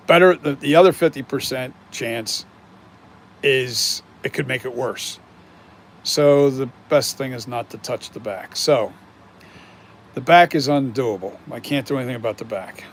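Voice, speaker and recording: Man, 60s, casual rambling talker, mid-pitched, faint street noise behind. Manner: rambling, candid, swears casually. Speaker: mask and prop maker. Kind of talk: casual live-stream chat.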